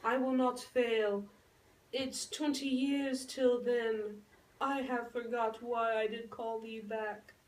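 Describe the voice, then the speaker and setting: A woman playing a lovestruck, earnest young noble girl in a puppet show, speaking Shakespearean verse in a theatrical, drawn-out way. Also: on the high side, steady tone. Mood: yearning. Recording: roomy echo, distant microphone.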